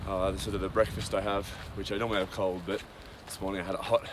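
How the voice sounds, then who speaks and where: A man's voice: sits low, level tone, fast talker, background noise. A man giving a casual, rambling daily update on a hiking trail, with many ums and side tangents. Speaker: long-distance hiker. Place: outdoors on a cold trail.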